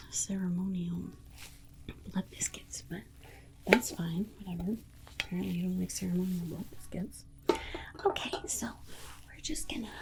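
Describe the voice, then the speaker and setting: A woman's voice: medium pitch, gravelly, heavy background noise. A woman voicing a soothing, condescending female alien scientist in a whispered ASMR exam roleplay, coddling and reassuring with murmured asides.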